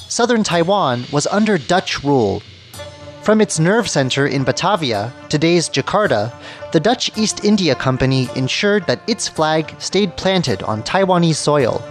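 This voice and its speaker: A man hosting a radio history programme, reading scripted documentary narration. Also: fairly high pitch. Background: background music.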